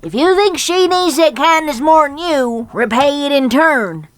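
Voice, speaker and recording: Casting: woman playing elderly farm granny pony, plain-spoken, firm yet kind, higher-pitched, dry close sound. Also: lively intonation, measured pace, dry recording. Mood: stern. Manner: folksy, plain-spoken rural speech. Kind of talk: dramatic fan fiction reading.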